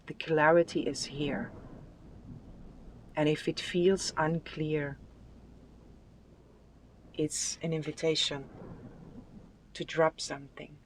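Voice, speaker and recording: Slow, soothing tarot card reader. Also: medium pitch, level tone, slight background noise. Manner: soft, soothing, unhurried delivery with drawn-out phrases. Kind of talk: spiritual tarot reading with affirmations.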